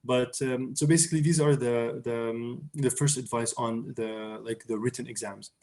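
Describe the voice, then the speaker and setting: Young man from Syria, halting speech. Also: medium pitch, steady tone, a little mellow. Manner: hesitant, many fillers and restarts. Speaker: interview guest, surgery resident. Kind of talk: casual conversational interview.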